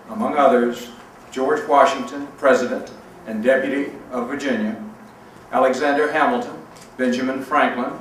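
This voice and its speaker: A man's voice: mid-range voice, a bit bright. Very echoey male public reader. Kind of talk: formal read-aloud of a legal document. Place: large reverberant room at a public event. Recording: very echoey hall, distant mic, faint noise.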